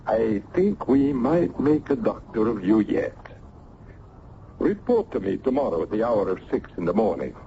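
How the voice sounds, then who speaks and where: An actor playing a learned, genial but wary older medical professor in a radio drama, ruminative and courtly with hesitant pauses. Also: male voice, lowish voice, very animated. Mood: genial, brisk. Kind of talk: old-time radio drama acting. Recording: dull, noisy old radio recording.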